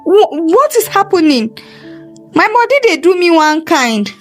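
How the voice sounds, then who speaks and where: A woman's voice: very high-pitched, sing-song, measured pace, soft background music, pitched above the performer's own voice, exaggerated voice. A woman with a Nigerian accent voicing a wicked, blustering, indignant landlady in a folktale. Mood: panicked. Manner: loud, indignant Pidgin exclamations.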